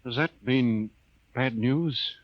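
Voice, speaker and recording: An actor playing a polite, hesitant civilian passenger on a spaceship in a 1950s radio drama. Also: male voice, mid-range voice, slow, lightly acted. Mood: worried. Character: polite, hesitant civilian male passenger exploring alien ruins. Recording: muffled, faintly noisy old radio recording.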